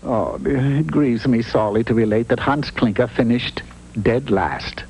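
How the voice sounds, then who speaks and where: A man's voice: medium pitch, a little slow, darkish tone, lightly acted. A man playing a wry, mock-solemn storyteller narrating a comic cartoon fairy tale. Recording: noisy old unrestored TV cartoon audio.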